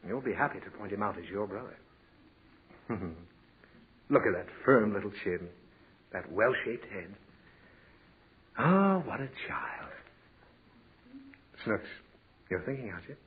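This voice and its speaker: Sex: man. Figure: fussy, lecturing father. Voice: lively mid pitch. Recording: tinny 1940s radio, faint hiss. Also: a little slow, long pauses. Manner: lecturing, sentimental, easily flustered. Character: patient, fussy father of a naughty girl. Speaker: father character in a radio comedy. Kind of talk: 1940s radio comedy acting.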